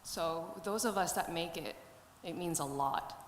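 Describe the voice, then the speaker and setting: A woman's voice: on the low side, a little slow. A woman fighter speaking casually and forthrightly at a press conference. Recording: echoey hall, faint noise.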